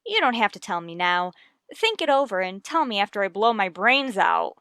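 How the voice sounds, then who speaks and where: An actress playing a wry, wisecracking schoolteacher in an old radio sitcom. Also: female voice, medium pitch, very animated, normal speed, a bit bright, no room sound, studio quality. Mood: deadpan. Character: wry, wisecracking female schoolteacher and cheerful female companions. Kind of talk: 1950s radio sitcom acting.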